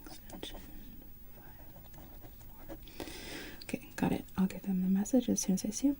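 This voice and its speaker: A woman whispering softly in an ASMR roleplay as a polite hospital receptionist answering phones. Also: medium pitch, moderate pace, slight background noise. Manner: soft, mostly unvoiced whisper; polite phone-desk manner.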